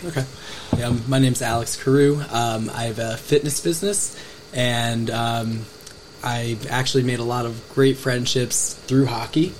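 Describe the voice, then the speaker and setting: A man talks in a friendly way as a podcast guest, pausing often on "um". His voice sits in the middle range. Faint background noise runs under the recording. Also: mid-range voice, fairly even intonation, poor audio. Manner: friendly, many "um"s. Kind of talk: casual chat.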